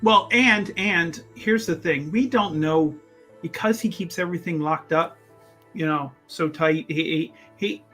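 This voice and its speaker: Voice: mid-range voice, unhurried, a bit bright, soft background music. A player voicing an eager, earnest, awkward young male scholar who stammers and repeats words in a tabletop game. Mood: worried, hesitant.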